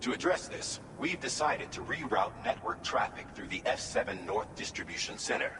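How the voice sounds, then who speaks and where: A man playing a formal network coordinator in a game cutscene, giving technical instructions in a measured way. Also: mid-range voice, big pitch swings, natural voice. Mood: businesslike. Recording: distant, echoey, reverberant, noisy.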